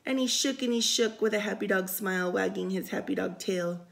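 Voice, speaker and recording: A woman reading a picture book aloud for storytime, expressive and sing-song, with casual asides. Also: mid-range voice, a little fast, vocal fry. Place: indoors, room by a window.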